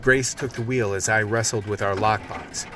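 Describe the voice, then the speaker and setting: A man's voice: fairly low pitch, steady tone, moderate pace, recorded from a distance, very noisy, natural voice. A man playing a tough, gun-toting action hero who narrates his story in a gritty, colloquial radio play style. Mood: gritty recounting. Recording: music bed under the voice.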